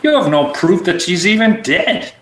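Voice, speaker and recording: A man's voice: high voice, big pitch swings, moderate pace, fairly bright tone. A man playing a defiant, blustering ship's cook who stands accused, in a radio play. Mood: indignant, defiant. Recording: echoey room with faint noise.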